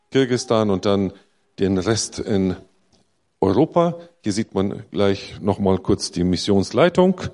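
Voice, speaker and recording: A middle-aged man giving a church mission presentation, talking in a halting way with many fillers and restarts. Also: on the low side, mellow.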